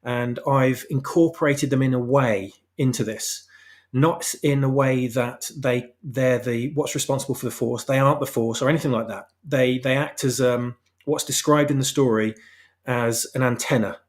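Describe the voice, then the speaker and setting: A man with a mid-pitched voice talks as a guest author in a casual interview. He explains haltingly, then leans on repeated phrases for emphasis. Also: steady tone. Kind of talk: casual explanatory chat about own story.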